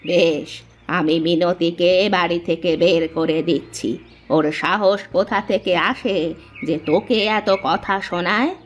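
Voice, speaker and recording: Low-voiced bossy old mother-in-law. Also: a little slow, faint music behind. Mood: angry. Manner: imperious, blunt. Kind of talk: cartoon family drama acting.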